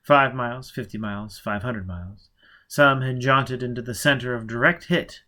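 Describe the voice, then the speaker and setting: A man's voice: medium pitch, normal speed, pushed and bright. A man narrating a novel as an amateur audiobook reader, reading steadily and expressively, with occasional slips and self-corrections.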